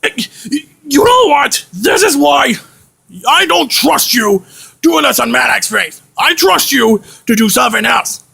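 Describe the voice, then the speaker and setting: A short-tempered ringleader, played by a man rants in an amateur skit. The voice is high, forceful and raspy, stammering and repeating as it scolds with swooping pitch. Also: expressive, a little slow, exaggerated voice. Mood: exasperated, angry. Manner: stammering, repetitive, ranting.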